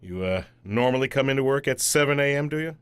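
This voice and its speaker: An actor playing a formal, humourless company auditor in an audio comedy, with a halting, officious, deadpan delivery. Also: male voice, mid-range voice, clear, resonant tone, dry recording, lightly acted. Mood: deadpan, probing.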